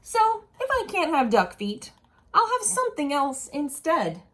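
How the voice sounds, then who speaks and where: A woman reads a picture book to young children in a fairly high voice. Her delivery is slow and deliberate, with big swoops in pitch. She slips in casual asides and questions for the listeners. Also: big pitch swings, fairly bright tone. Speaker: storyteller reading a children's picture book aloud.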